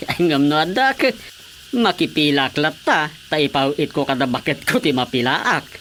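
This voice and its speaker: A woman's voice: sits low, expressive, a little slow, fairly bright tone, a little hiss or hum. A woman with an Ilocano Filipino accent playing a dead, gossipy village chairman in a radio comedy, chuckling and exclaiming. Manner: chuckling, exclamatory comic delivery.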